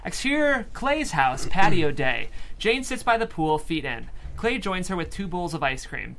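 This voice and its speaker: Animated, creaky higher-voiced male narrator. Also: normal speed. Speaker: stage-direction reader. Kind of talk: scripted screenplay narration at a table read.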